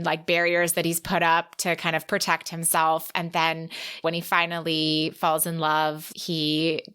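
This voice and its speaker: A woman podcast host talks casually and gushes with enthusiasm. Her voice sits a little low for a woman, with a bright, forward edge. The style is an easygoing book discussion. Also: smooth. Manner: gushing, enthusiastic.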